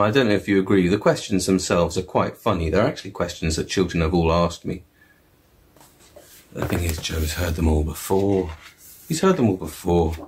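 A man in his forties reading his own picture book aloud to children, animated and chatty with asides to young listeners, recorded at home on a staircase. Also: low-pitched, noisy background. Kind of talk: expressive read-aloud storytelling for children. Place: indoors on a wooden staircase at home.

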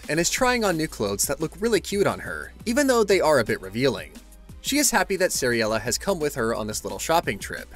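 A man's voice: on the high side, fairly bright tone. A man reading a scripted comic story recap, tossing in wry, playfully sarcastic asides. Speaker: recap narrator.

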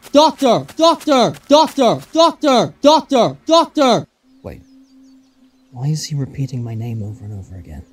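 A man's voice: mid-range voice, slight background noise. A man playing an anxious, searching traveller who talks urgently to himself in a fan audio drama.

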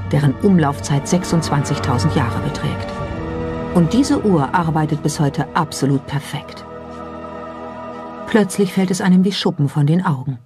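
A narrator reading a scripted documentary, presenting it as the narrator of the piece. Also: mid-range voice. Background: music behind the voice.

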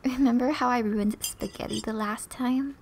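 A woman playing a flirty, teasing, affectionate wife in an ASMR roleplay, soft and often breathy, with playful, intimate delivery. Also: a little high, fairly even intonation, normal speed, a little hiss or hum, lightly acted.

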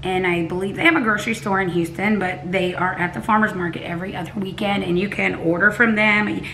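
A woman in her forties from Texas, hoarse, chatting casually to camera at home, rambling with restarts and coughs. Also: mid-range voice, steady tone, brisk, bright, forceful tone, a little hiss or hum, poor audio. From Texas, USA. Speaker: cooking vlogger. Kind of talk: casual vlog talk to camera. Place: living room at home, indoors. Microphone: camera a few feet away at a table.

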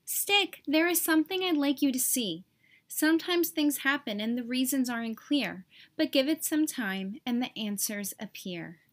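Woman as female twig, storybook friend, high-pitched, kind, upbeat, emphatic, encouraging. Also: lively intonation, moderate pace. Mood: reassuring.

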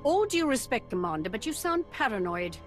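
A gleeful, ambitious Sith officer, animated delivery, game score behind. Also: female voice, a little high, fairly quick. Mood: scheming. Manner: relishing, scheming. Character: ambitious bloodthirsty female Sith officer, scheming. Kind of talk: video game voice acting. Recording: game audio with music score.

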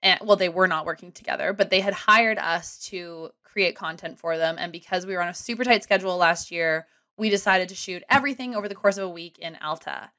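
A woman in her thirties telling a long story casually on a podcast from a small home room, animated and rambling. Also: on the low side, brisk. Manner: animated, rambling, self-deprecating. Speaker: guest comedian and ski content creator. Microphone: studio mic close up with headphones.

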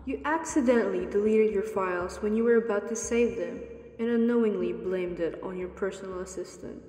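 A woman's voice: medium pitch, mellow, natural voice. A woman playing a composed, formal and impartial afterlife court examiner in a radio drama. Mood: calm, firm. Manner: formal, measured, authoritative. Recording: echoey reverberant hall.

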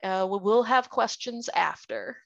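A woman's voice: mid-range voice, lively intonation, measured pace, gravelly, dry recording, muffled recording. A woman hosting an online meeting, introducing the speaker in a friendly way, with frequent fillers. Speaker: meeting host.

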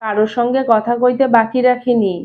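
A woman's voice: fairly high pitch, flat delivery, moderate pace, phone-quality sound, lightly acted. Woman voicing a shrewd, teasing older brother-in-law. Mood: pressing, persuasive. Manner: folksy, needling, persistent questioning. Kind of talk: audio story dialogue reading.

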